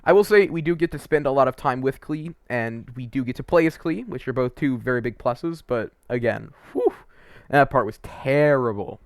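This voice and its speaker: A man's voice: mid-range voice, animated, moderate pace, no room sound. A man giving casual, opinionated game review commentary, informal and emphatic, stretching words for emphasis.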